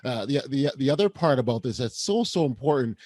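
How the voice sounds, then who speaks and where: A man's voice: medium pitch, expressive, a little fast, a little mellow. A man hosting a podcast interview, enthusiastic and affirming, often restarting his sentences. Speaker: podcast host.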